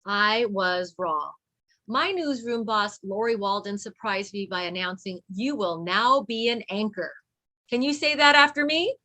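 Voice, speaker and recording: A woman's voice: mid-range voice, expressive, measured pace, pushed and bright. A woman reading a children's picture book aloud, with teacherly emphasis for young listeners. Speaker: read-along co-reader.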